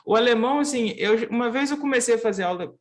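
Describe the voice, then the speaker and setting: A podcast host with a Brazilian accent, asking loose, rambling questions in a relaxed, affirming way. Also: medium pitch, quick pace. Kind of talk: casual interview chat.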